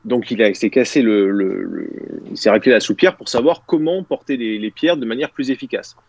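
A man in his thirties speaks over a video call from a small room. He rambles, circling back with fillers and restarts while gesturing. His voice sits at a medium pitch, and the sound is dry and close. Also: brisk, vocal fry, a little mellow, breathy edge, no room sound, lo-fi sound. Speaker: guest. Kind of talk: casual video-call discussion. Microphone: video call, webcam.

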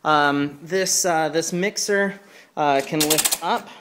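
A man presenting equipment in an informal hands-on walkthrough, speaking hesitantly and pausing mid-sentence. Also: a little high. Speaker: presenter demonstrating church sound equipment. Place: carpeted indoor room. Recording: clear background noise, handheld camera mic.

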